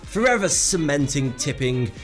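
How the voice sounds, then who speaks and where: Wry man narrating, lively pitch. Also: normal speed, soft background music. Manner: sarcastic, wry storytelling. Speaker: narrator of an explainer video. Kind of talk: scripted narration.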